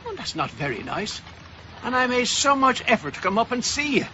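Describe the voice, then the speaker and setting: An actor playing a menacing, wheedling down-and-out estranged husband in a radio drama, oily, mock-hurt and taunting. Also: male voice, very high-pitched, sing-song, fairly quick, dull audio, background noise.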